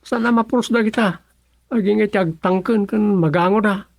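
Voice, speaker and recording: A man's voice: sits high, fairly quick, vocal fry, a little hiss or hum. A Filipino man playing a good-humored village councilor in a radio comedy drama. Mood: amused, easygoing.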